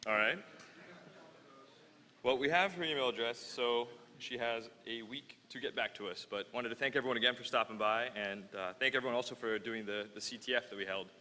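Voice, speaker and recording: A man's voice: medium pitch, a little fast, bright, forceful tone. A man representing a sponsor announces a raffle winner, hesitant and full of fillers. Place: large conference hall. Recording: reverberant hall with faint noise.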